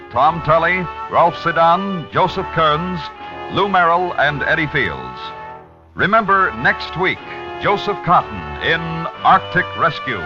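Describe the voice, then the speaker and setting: A man announcing a radio show in a dramatic 1950s broadcast style. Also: on the high side. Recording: muffled vintage radio broadcast. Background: music.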